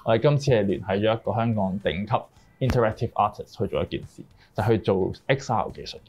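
A man's voice: lowish voice, expressive, fairly quick, darkish tone, slight background noise. A man with a Hong Kong accent explaining his work in an interview as a director, mixing in English words. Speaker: music video director. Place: small indoor room, group interview, through a face mask.

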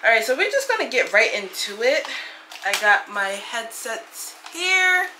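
A woman's voice: fairly high pitch, very animated, unhurried, background noise. A young adult woman chatting casually and animatedly as she hosts a reaction video, recorded indoors in a small room.

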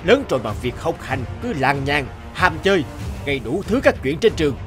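A stern middle-aged father in an animated family drama. His voice sits fairly high and swings widely as he scolds with exasperated emphasis. Soft music plays behind him. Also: fairly quick. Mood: scolding, exasperated. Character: stern, hot-tempered middle-aged father of a teenage girl.

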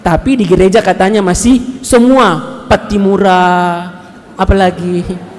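Animated priest with a high voice. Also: male voice, measured pace, slight background noise. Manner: animated, gestures, asks the congregation questions. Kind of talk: lively homily at mass. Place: large church hall. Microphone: headset mic. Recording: long hall reverb.

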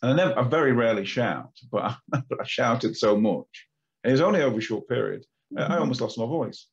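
A man's voice: medium pitch, expressive, fast, husky, muffled recording. A man chatting casually on a live stream, rambling with filler words. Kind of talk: casual chat.

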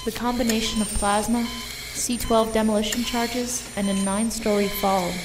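A woman playing a calm, grave, compassionate military doctor in an audio drama. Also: fairly high pitch, moderate pace, recorded from a distance, music in the background, lightly acted, poor audio. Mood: calm, professional.

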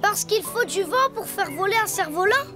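An actor playing an excitable, imaginative little boy donkey in a preschool cartoon, childlike and eager. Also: very high voice, moderate pace, music in the background. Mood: excited.

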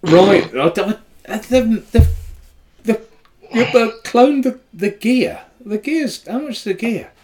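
A man's voice: sits high, slow, darkish tone. Chuckling older Norfolk man on a webcam call. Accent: Norwich. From Norfolk, England. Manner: chuckling, hesitant, reads viewer comments aloud. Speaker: co-host of a vaping live show. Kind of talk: casual live-stream chat. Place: home room, indoors.

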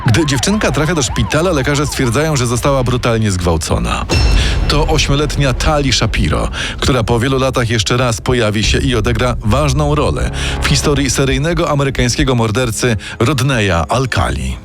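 A man delivers scripted true-crime narration in a dramatic, suspenseful way. His mid-pitched voice moves expressively, with pointed pauses. Music plays clearly underneath. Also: sing-song.

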